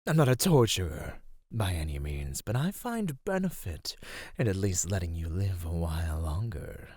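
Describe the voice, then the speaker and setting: A man playing an ancient male serpent-like monster in an ASMR roleplay, patient, menacing and teasing, with deliberate, ornate speech. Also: deep voice, very animated, darkish tone, breathy edge, no echo, studio quality, exaggerated voice. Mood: threatening, haughty. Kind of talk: ASMR roleplay, scripted acting.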